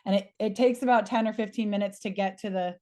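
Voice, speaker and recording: Female co-host, soft mellow tone, even pitch, matter-of-fact and helpful. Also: fast. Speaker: co-host of meeting. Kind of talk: informal practical explanation on a group call.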